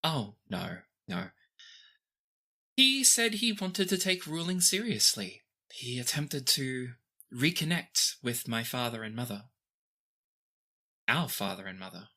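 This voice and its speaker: A performer voicing a young teenage princess who is gentle, guarded and composed, with formal, measured phrasing in a fantasy tabletop game. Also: medium pitch, sing-song, heavily acted. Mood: reserved, formal.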